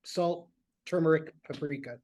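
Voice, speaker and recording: Male guest from Michigan, fairly high voice, halting, filler-heavy casual chat. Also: a little mellow. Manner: rambling, halting with many fillers.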